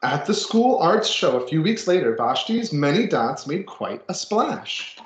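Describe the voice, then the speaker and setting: A man's voice: fairly high pitch, very animated, a little slow, reverberant. A man reading a children's picture book aloud with casual asides, expressively and with dramatic emphasis. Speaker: storybook reader.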